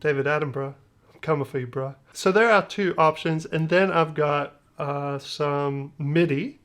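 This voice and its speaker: A man's voice: on the high side, normal speed, a bit bright. A man hosting a music production tutorial, talking casually in a loose, joking, slangy way with trailing phrases. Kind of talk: casual screen-share tutorial talk.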